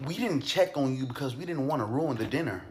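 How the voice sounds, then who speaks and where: A man's voice: mid-range voice, expressive, a little fast, close to an everyday voice. Man playing defensive father, faintly noisy recording. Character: defensive, gossipy father under suspicion. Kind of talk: amateur puppet-show murder mystery.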